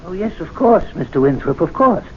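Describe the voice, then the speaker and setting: A man's voice: high-pitched, sing-song, fairly quick, a little hiss or hum. An actor playing a timid, deferential older man at a club, hesitant and stammering, in a 1970s radio drama. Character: timid meek older male club attendant. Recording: dull lo-fi old radio recording.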